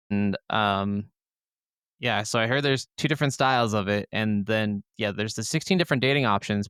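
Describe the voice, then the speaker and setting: A man with a smooth, clear voice at an average pitch co-hosts a gaming podcast. He talks casually and conversationally, in a close, dry recording. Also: a bit bright, dry recording. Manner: casual, conversational, some swearing. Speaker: podcast co-host.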